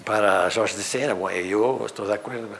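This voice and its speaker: A middle-aged man with a Portuguese accent, speaking Spanish as a second language, gives an animated university seminar lecture in a hall on a lapel mic, with many fillers. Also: fairly low pitch, brisk, creaky, slight background noise. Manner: animated, gestures at projected slides, thinks aloud with many fillers. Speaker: literature professor.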